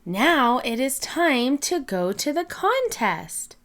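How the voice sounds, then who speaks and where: Very slow, animated woman storyteller. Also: high voice. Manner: expressive, clearly separated phrases. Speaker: children's book reader. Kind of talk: animated read-aloud storytelling for young children.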